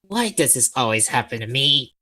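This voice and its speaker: A man's voice: medium pitch, sing-song, moderate pace, bright, forceful tone, exaggerated voice. A man playing a sulky, self-deprecating, clumsy young male student in an audio drama, whiny and hesitant. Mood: sheepish, grumbling.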